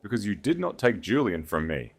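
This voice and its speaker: A man voicing a cold, composed noblewoman with a quiet, smooth, deliberate and cutting delivery in a dramatic audio story. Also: on the low side, very animated, voice pushed up, exaggerated voice. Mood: icy, cutting. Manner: deliberate, icy, controlled.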